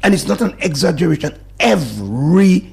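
A Dominican man talks on a radio discussion show with a gravelly, lively voice. He presses his points in emphatic bursts, his pitch leaping up and then dropping low. He sounds like a studio guest with strong opinions. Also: a little fast. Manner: animated, emphatic, swings between loud bursts and low mutters. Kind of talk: passionate talk-radio discussion.

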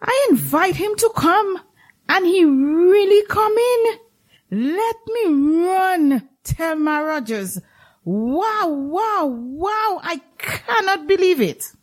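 A woman playing a devout, gullible, excitable older village woman with a Dominican Caribbean accent, gushing and exclamatory, in a drama. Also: very high voice, takes it slowly, heavily acted. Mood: amazed, overjoyed. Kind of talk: dramatised dialogue in Caribbean creole English.

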